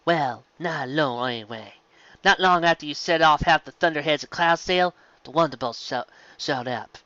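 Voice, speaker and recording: A man's voice: a little high, moderate pace, pushed and bright, smooth, no room sound, highs cut off. A man voicing a brash young female pegasus pony, teasing and scolding, emphatic and familiar, in a story reading.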